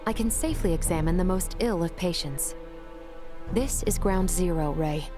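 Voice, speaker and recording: A woman voicing a calm, precise female medical expert in a video game, formal and matter-of-fact. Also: lowish voice, normal speed, over music, close to an everyday voice. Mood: clinical.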